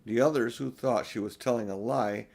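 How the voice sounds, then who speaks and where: A man's voice: mid-range voice, moderate pace, gravelly, darkish tone. An older man narrating a children's storybook expressively as an audiobook reader.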